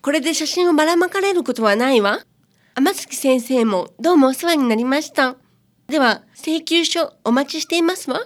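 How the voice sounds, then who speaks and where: A woman's voice: high voice, lively intonation, normal speed. A woman playing an alluring, teasing older sister with refined, coquettish speech in a radio drama. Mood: composed, elegant.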